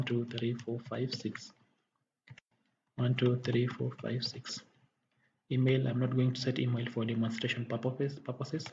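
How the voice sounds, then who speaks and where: A man giving a software tutorial as a technical instructor, halting and often rephrasing as he explains. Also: medium pitch. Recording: dull muffled audio, faint background noise.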